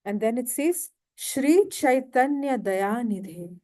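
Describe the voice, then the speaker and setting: A woman giving a devotional lecture to a temple audience, slow and often asking questions. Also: on the high side, expressive, warm tone. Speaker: guest lecturer. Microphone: handheld mic, failing at times.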